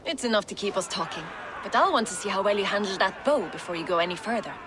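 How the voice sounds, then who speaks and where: A woman playing a brisk, cheeky archery contest announcer in a video game, blunt and teasing. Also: medium pitch, expressive, close to an everyday voice. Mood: brisk, guarded. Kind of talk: video game cutscene acting. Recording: noisy outdoor crowd ambience.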